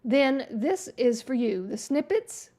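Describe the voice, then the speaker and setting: A fairly high-voiced woman, hosting a relaxed livestream tutorial. She talks through each click in a chatty, folksy way, and her voice is wearing hoarse. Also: slow. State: losing her voice. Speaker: web design livestream host. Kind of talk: casual screen-share tutorial chat.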